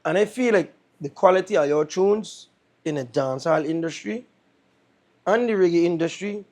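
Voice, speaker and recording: Give me an excited podcast host with a Caribbean accent who gushes and curses freely. The voice sits at a middle pitch. The talk is loose and casual, like a fan talking to the artist. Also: normal speed. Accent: Caribbean English.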